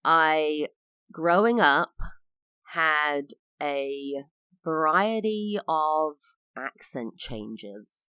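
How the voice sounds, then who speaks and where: Female podcast host from England, very low pitch, chatty and explanatory, dry booth sound. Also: lively intonation, very slow, sharp tone, clean tone, no echo. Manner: personal, explanatory, with frequent fillers. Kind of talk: solo podcast monologue.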